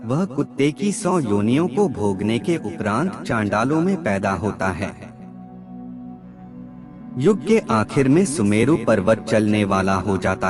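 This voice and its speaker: A man reading scripted maxims as a narrator, in a formal, measured and deliberate way. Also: fairly low pitch.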